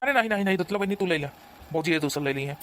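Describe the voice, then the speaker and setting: An actor voicing an exasperated village man in a comedy cartoon, hurried and placating, with an Awadhi accent. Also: mid-range voice, lively intonation, very noisy, natural voice.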